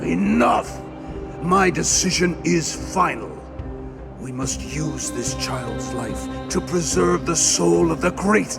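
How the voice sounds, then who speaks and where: A ruthless warrior king from a fantasy game, contemptuous and imperious. The voice is heavy with gravel and moves slowly, with music playing clearly behind. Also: on the high side, exaggerated voice. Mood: commanding. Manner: slow, imperious. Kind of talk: dramatic video game voice acting. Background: loud music.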